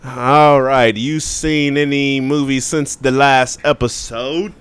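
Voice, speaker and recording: A man's voice: medium pitch, steady tone, takes it slowly, fairly bright tone, smooth. Male podcast co-host, casual and slangy, clean resonant tone. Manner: loose, rambling, slangy. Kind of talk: casual movie chat.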